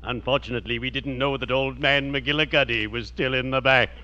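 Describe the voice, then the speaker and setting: An actor playing a friendly, morbidly genteel undertaker in old radio comedy, speaking formally and solemnly with drawn-out words and macabre puns. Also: male voice, medium pitch, steady tone, natural voice. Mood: mock-dignified. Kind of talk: 1940s radio comedy acting. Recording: dull, noisy old radio recording.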